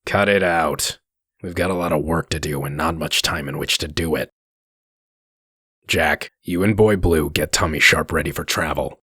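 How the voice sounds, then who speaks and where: A man playing a gruff, commanding werewolf detective in a comic dub, curt and threatening, with a gravelly voice. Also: rumbling low, normal speed, pushed and bright, no room sound, clean studio sound, heavily acted. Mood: stern, menacing.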